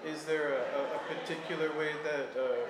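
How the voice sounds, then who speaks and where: A man interviewing for an oral history recording. He asks measured questions with hesitant fillers. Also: a little high, steady tone. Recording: echoey room with noise.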